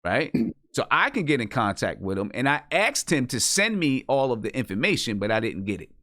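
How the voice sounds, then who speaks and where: Man hosting with lively, swinging pitch. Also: a little fast, no echo, clean studio sound. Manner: instructive, insistent, often checking with "right?". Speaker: host of an independent hip hop music review show. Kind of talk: casual live radio-show talk addressed to artists and listeners.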